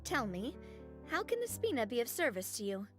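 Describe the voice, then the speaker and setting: A woman's voice: on the high side, big pitch swings, brisk, fairly bright tone. A woman playing a spirited, protective young female boss with an emphatic, theatrical delivery in an anime-style game. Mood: warm, teasing. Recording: clear music behind the voice. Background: music.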